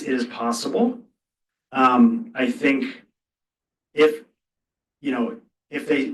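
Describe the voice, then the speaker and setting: A man speaking as a trade lawyer on a panel, thinking aloud and hedging as he answers. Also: medium pitch. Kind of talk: spontaneous panel discussion answer. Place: indoor university event hall. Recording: echoey room, distant mic.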